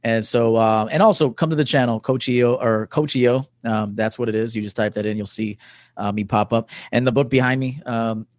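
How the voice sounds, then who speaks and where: A man's voice: medium pitch, fairly even intonation, brisk, no echo. A man in his early forties talking casually and emphatically as a guest coach in an interview. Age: early 40s. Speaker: podcast guest, men's coach. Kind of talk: casual conversational interview talk.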